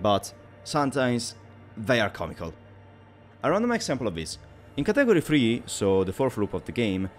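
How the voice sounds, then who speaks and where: A man narrating a scripted video game review in an analytical, opinionated and wordy manner. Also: mid-range voice.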